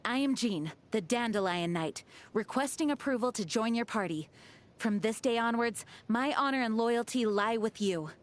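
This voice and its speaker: A woman's voice: on the high side, animated, normal speed, lightly acted. An actress voicing an earnest, dutiful young female knight commander in a video game, composed and formal. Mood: solemn. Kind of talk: video game character voice lines.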